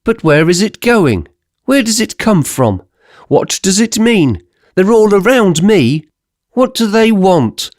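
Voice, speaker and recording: A man's voice: high-pitched, very animated, slow pace, dry recording, clean studio sound, voice pushed up, exaggerated voice. A man voicing a bewildered young male giant, a rustic chalk cutter with an odd, unfamiliar voice, asking plaintive questions. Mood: pleading.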